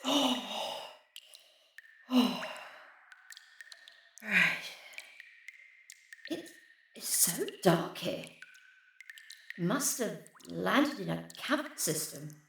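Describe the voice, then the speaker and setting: A woman playing an anxious but determined resistance agent, halting and gasping, in an audio drama. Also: medium pitch, sing-song, creaky, a bit bright, exaggerated voice. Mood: pained, uneasy. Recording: strongly echoing, faint background noise.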